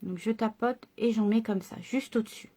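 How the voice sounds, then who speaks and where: A woman's voice: a little high, moderate pace, mellow. A woman chatting through a makeup tutorial and explaining each step to her viewers. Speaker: makeup vlogger.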